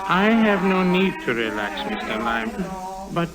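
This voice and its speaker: An actor playing a coolly menacing bank teller and henchman in an old radio drama, formal and measured. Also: a little high, big pitch swings, music in the background, low-quality recording. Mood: quietly threatening. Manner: formal, measured, quietly threatening. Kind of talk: 1950s radio drama acting. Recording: old 1950s radio recording.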